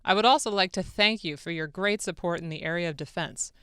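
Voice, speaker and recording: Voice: medium pitch, fairly quick, bright, forceful tone, smooth, no room sound, clean studio sound, lightly acted. A radio producer doing a dramatic reading as an eager, deferential male foreign president, with emphatic, earnest delivery. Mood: agreeable. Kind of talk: dramatic reading of a phone-call transcript.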